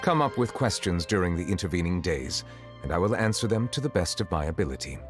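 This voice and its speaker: An actor playing a stately, aloof judge who speaks in a measured, formal way, with game music behind. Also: male voice, deep voice, lively intonation. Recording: game audio with background music.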